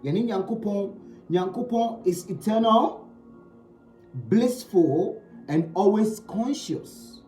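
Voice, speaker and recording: A man's voice: sits high, animated, slow, darkish tone, reverberant, soft background music. A man giving a spiritual teaching talk. He speaks emphatically, repeating key phrases and asking rhetorical questions.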